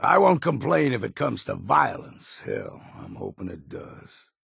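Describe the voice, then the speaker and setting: An actor playing a gruff old hard-drinking hunter and guide in a video game, with a raspy voice and folksy, laconic talk. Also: male voice, mid-range voice, very animated, unhurried, a bit bright, no room sound, clean studio sound, full character voice. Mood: wry, grim. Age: older. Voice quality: gravelly, raspy. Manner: folksy, dropped endings, laconic. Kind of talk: video game character acting.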